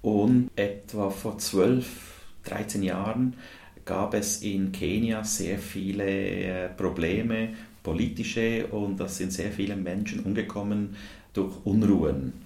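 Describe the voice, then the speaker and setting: Man, lowish voice, native english speaker, hesitant with fillers, echoey room. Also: slow pace, vocal fry, mellow. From India. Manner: hesitant, many filler sounds. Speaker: guest, dental technician, charity volunteer. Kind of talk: interview answers. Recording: roomy echo.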